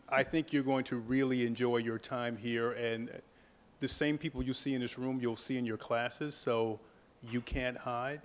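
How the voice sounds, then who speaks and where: Young guy student advisor, jokey and halting, lots of uhs, some faint noise behind. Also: mid-range voice. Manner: joking, halting, many fillers. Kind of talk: casual welcome speech.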